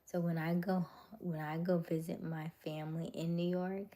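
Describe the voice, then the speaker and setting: A young adult woman hosting a casual livestream, chatting with viewers in a chatty way with frequent laughs and soft, whispery stretches. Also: lowish voice, level tone, normal speed. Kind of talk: casual live chat reading viewer comments.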